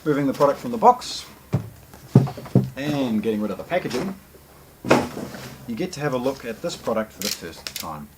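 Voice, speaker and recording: A man's voice: medium pitch, sing-song, normal speed, long pauses, very noisy. A man giving unscripted unboxing commentary, explaining hesitantly and leaning on the openings of his phrases. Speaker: product presenter.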